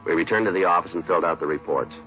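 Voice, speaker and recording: An actor playing a terse police detective in a 1950s radio crime drama, clipped and matter-of-fact, on a dull vintage recording. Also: male voice, low-pitched, very fast, music in the background, natural voice. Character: terse, businesslike male police detective, narrating his case.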